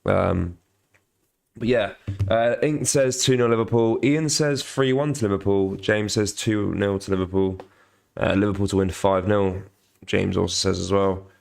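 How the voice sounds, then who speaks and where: A young man with a cold-croaky voice hosting a casual live football watch-along, halting with many ums while reading viewer comments. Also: lowish voice, darkish tone. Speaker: football channel host.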